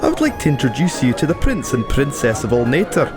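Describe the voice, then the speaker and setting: A man as a formal, lively-pitched servant. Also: fast talker, over music. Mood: formal, deferential. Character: formal, deferential male servant announcing guests. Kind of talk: audio fantasy drama acting.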